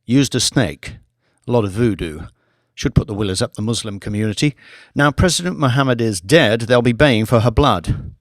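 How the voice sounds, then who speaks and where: A man's voice: mid-range voice, lively intonation, moderate pace, dry recording, clean studio sound, lightly acted. A man voicing a cool, scheming British government operative in an audiobook, commanding, clipped and businesslike. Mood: scheming, brisk. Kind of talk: audiobook character voice.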